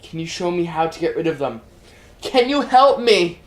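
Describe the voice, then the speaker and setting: A woman performing a dramatic reading as a tormented, despairing figure possessed by demons, swinging between hushed and loud pleading. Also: on the low side, big pitch swings, normal speed. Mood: desperate, weak. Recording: roomy echo, music underneath.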